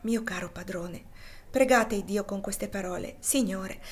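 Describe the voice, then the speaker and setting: A gentle, deeply devout older man talks softly and tenderly, pleading in a hushed way, as a woman reader puts him on. His voice sits at an ordinary middle pitch and is not theatrical. It is an audiobook reading. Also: a little slow, breathy edge.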